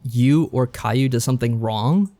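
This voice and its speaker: A man with a voice of middling pitch talks casually as a podcast co-host. He recaps a story in a loose, rambling way, with wry asides along the way. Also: normal speed, dark tone. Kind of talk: casual chat recapping a visual novel.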